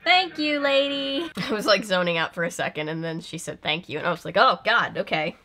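A woman's voice: medium pitch, animated, fairly quick, sharp tone. A young woman giving casual, comedic reaction commentary, full of sarcastic asides and mock exasperation. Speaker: video host reacting to an old film.

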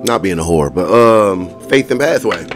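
Hoarse, profane male tarot reader. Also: low-pitched, animated, normal speed. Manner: blunt and profane, with frequent laughs. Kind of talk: casual tarot reading to viewers. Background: music.

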